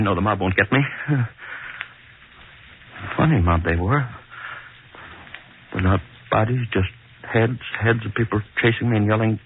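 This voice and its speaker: A man's voice: on the low side, lightly acted. An actor playing a callous, guilt-crazed hangman in a melodramatic 1940s radio horror style, repeating his phrases obsessively. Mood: haunted, obsessive. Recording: noisy, tinny 1940s radio recording.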